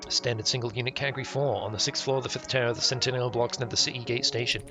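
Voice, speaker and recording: A man's voice: medium pitch, steady tone, rapid-fire. A man streaming a visual novel, reading the text aloud with irreverent, offhand asides. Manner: irreverent, ad-libs jokes and mutters reactions. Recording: music playing behind the voice. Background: game music.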